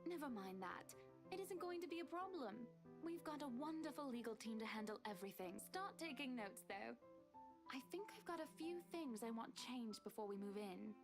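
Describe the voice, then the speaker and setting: High-voiced, assured rich wife. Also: female voice, lively intonation, normal speed, music in the background. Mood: breezy, commanding. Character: wealthy, assured wife and mother shopping for mansions. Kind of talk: scripted visual novel game acting.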